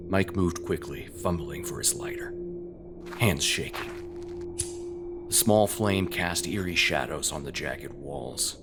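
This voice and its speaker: A man narrating a scripted horror story in a measured, dramatic, suspenseful way. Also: extremely low pitch. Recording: loud music under the voice. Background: loud background music.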